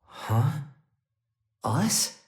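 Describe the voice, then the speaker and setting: An actor voicing an earnest, gentle young hero in an English game dub. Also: male voice, drawn-out words, a little hiss or hum. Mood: stunned. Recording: echoing voice over music.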